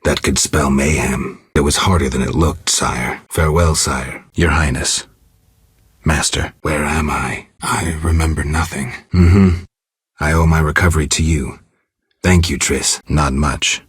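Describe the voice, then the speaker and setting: An actor voicing a stoic, sardonic monster-hunting warrior in a video game, gruff and gravelly, with a terse, deadpan way of talking. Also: male voice, a bass voice, a little slow, dry recording, clean studio sound, full character voice. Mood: dry, composed. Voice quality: gravelly, husky rasp.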